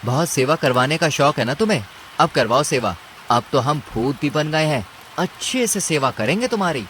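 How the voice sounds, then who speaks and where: A man's voice: fairly high pitch, expressive, normal speed, a bit bright, slight background noise. A man playing a clever young male mouse in a cartoon story, with a plaintive, dramatic delivery. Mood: mockingly menacing. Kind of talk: Hindi cartoon story acting.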